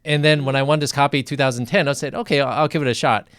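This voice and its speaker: Smooth-voiced man hosting a chat. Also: mid-range voice, steady tone, quick pace, a bit bright, no room sound, studio quality. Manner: thoughtful, repeats words as he talks. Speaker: physician hosting a live interview. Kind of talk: conversational interview.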